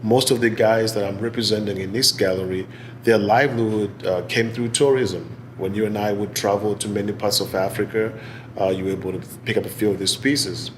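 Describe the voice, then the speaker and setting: A man in his forties with a Zimbabwean accent, giving measured, articulate answers in an interview. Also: on the low side, steady tone, slight background noise. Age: 40s. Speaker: interviewee, business executive and gallery owner. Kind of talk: oral history interview answers.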